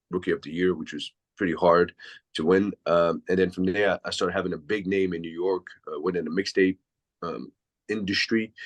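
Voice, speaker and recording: Deep-voiced Swiss man telling his story. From Switzerland. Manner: reflective, long winding answers. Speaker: podcast guest, DJ. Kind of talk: casual interview storytelling.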